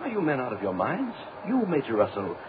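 An actor playing a stern, sober scientist in a 1940s radio play, formal and admonishing. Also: male voice, mid-range voice, huge pitch swings, a little fast. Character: stern, sober, disapproving male scientist doctor. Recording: tinny, noisy 1940s radio recording.

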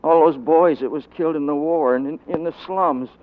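On a narrow, phone-like 1940s radio recording, a condemned man on the run played by a male actor speaks in a slightly high voice. He rambles with earnest, weary conviction about his ideals, in old radio drama style. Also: a little fast, lightly acted. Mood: anguished fervor.

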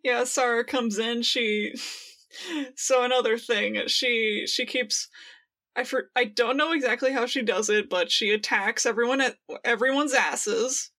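A young woman with a high, very bright voice talks as a podcast co-host. She is excitable and giggly in casual fan chat. Swear words slip in freely. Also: measured pace. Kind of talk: casual fan discussion of audio dramas.

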